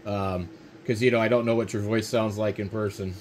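A man's voice: on the low side, steady tone, fairly quick, darkish tone. A man with an American accent, chatting casually as an amateur radio operator at an indoor desk. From United States. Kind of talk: casual ham radio chat. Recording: faint radio hiss and room noise.

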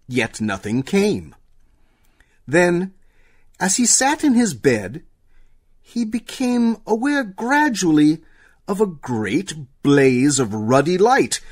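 Male storyteller: dramatic, unhurried, suspenseful, higher-pitched and lively. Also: breathy edge. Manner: deliberate, suspenseful pauses. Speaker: narrator.

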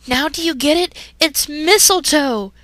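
A woman's voice: high voice, expressive, slow pace, sharp tone, no echo, pitched above the performer's own voice, full character voice. A woman voicing a feisty, stubborn teenage girl in a fan story reading. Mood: insistent.

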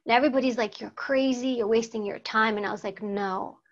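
A woman's voice: fairly high pitch, steady tone, fairly quick. A woman, telling her life story earnestly in an interview and repeating words for emphasis. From United States. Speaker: guest, former secret service agent. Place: plain studio backdrop. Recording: slightly dull lo-fi sound.

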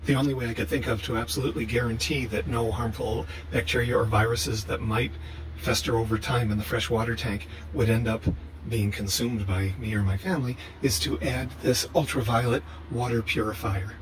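Measured older man explaining bus plumbing. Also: medium pitch, fairly even intonation, noisy background. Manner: measured, matter-of-fact explaining. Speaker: vlogger. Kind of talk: calm how-to narration.

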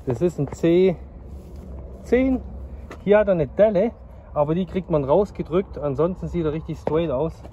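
A man's voice: on the high side, moderate pace, dark tone, lo-fi sound, a little hiss or hum. A man in his thirties talks casually and eagerly to his viewers on a phone camera, outdoors at a junkyard and inside a car. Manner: chatty, enthusiastic, addresses viewers directly. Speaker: classic car dealer. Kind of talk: casual vlog commentary.

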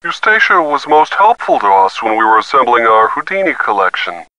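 An actor playing a formal, fussy, reluctant library archivist in a video game, speaking precisely and officiously. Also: male voice, medium pitch, sing-song, brisk, bright, forceful tone, no room sound, poor audio.

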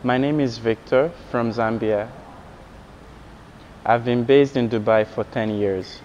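A man voicing interview answers as an interpreter, in a measured read-out style. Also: mid-range voice, a little mellow. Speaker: interpreter voicing a deaf artist's signed answers. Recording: dull, noisy recording.

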